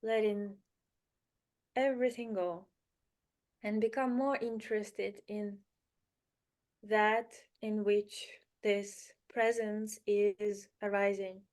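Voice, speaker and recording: Slow-speaking woman guiding meditation. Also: medium pitch, steady tone. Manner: soothing, unhurried, short phrases with pauses and gentle repetition. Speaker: meditation guide. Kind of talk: guided meditation, spiritual.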